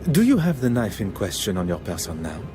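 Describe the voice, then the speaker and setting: An actor playing a shrewd, courteous, self-assured detective with a Belgian French accent, speaking formally and precisely in a game. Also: male voice, low voice, huge pitch swings, fairly quick, over music. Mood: measured, probing. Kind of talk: scripted game dialogue and narration.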